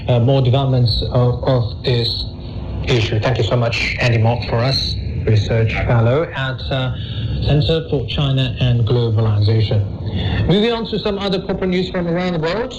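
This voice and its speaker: A man anchoring a news bulletin, reading stories in a measured, formal way with the odd filler. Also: medium pitch, noisy background. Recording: muffled shortwave radio broadcast with music.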